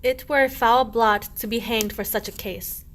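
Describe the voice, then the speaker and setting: A woman playing a grumbling, sharp-tongued housewife in a medieval radio play, scolding in archaic rhyme. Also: a little high, fairly even intonation, normal speed, a little hiss or hum, close to an everyday voice. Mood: teasing, scolding. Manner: scolding, archaic rhyming speech.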